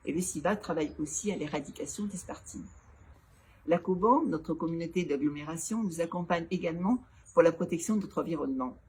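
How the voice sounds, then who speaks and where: A woman, a local elected official, giving prepared, formal interview answers in a structured, didactic and measured way. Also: fairly low pitch, darkish tone. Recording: clearly noisy background ambience.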